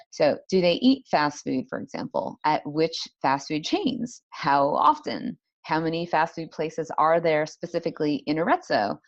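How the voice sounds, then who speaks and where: A woman's voice: low voice, vocal fry, no room sound, dull audio. A woman giving an explanatory academic presentation as a language teacher, posing rhetorical questions as she goes. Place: indoor room, online symposium talk.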